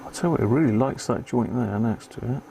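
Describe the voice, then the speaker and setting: A man's voice: mid-range voice, fast talker, dark tone. A man giving casual live commentary on an electronics repair, muttering to himself as he concentrates on fiddly work. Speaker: amateur radio hobbyist hosting a live repair stream. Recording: clear background noise.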